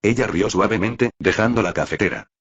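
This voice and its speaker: A man's voice: on the low side, animated, a little fast, breathy edge, muffled recording. A man reading scripted fiction aloud as an audiobook-style narrator.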